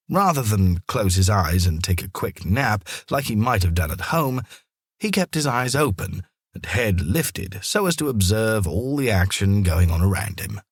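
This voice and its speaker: Male storyteller, low husky voice, children's story narration, expressive scripted delivery. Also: no room sound, studio quality.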